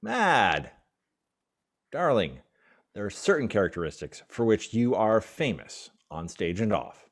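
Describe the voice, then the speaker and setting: An actor playing the exasperated, wry boyfriend of a stage star in a radio play, teasing and reproving. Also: male voice, on the low side, very animated, unhurried, exaggerated voice. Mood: angry, firm.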